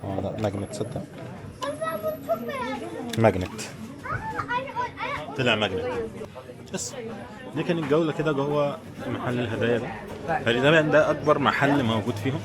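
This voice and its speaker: A man's voice: mid-range voice, moderate pace, long pauses. A man with an Egyptian Arabic accent chatting casually as a travel vlogger, giving viewers an informal running commentary as he walks around. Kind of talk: casual walking-tour chat. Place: walking around a tourist village and its shops. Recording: busy crowd and street bustle behind.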